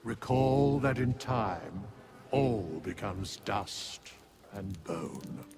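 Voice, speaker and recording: An actor playing an ancient, grave undead sage in a fantasy game, with an archaic, portentous, deadpan delivery. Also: male voice, fairly low pitch, extremely slow, background noise, full character voice. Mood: grave warning.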